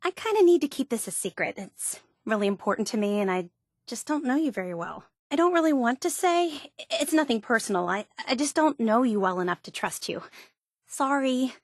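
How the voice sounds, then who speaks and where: Game role: gushing young woman superfan, high voice, very animated, geeky and earnest, dry studio sound. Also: brisk, no echo. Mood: earnest, guarded. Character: eager, obsessive young woman soda superfan and tour guide. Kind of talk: video game character dialogue.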